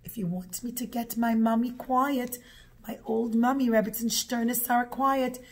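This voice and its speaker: Woman speaking as a firm, reasoning rabbi, high voice. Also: steady tone, normal speed. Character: calm, firm male rabbi, protective grown son.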